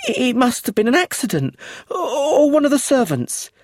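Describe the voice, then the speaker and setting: A man voicing a nervous, frightened young widow in an audiobook, pitched high and strained. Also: big pitch swings, quick pace, darkish tone, dry recording, clean studio sound.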